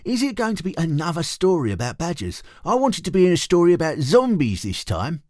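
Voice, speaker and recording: A man's voice: on the high side, huge pitch swings, fairly quick, darkish tone, no room sound, studio quality, voice pushed up. A man voicing a grumbly, puzzled young boy in a children's storybook, questioning and objecting hesitantly. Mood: puzzled, disappointed.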